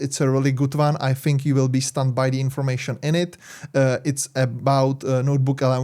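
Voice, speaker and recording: A man's voice: mid-range voice, a little mellow. Czech-accented man rambling about tech. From Czech Republic. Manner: rambling with many fillers and self-corrections. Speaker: tech YouTuber. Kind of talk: casual commentary over screen.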